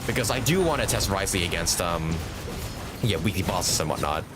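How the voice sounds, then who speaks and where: A man's voice: sits low, lively intonation, fairly quick, over loud music. A man giving casual, rambling live commentary as he streams a game, thinking aloud and chatting to viewers. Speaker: game livestreamer.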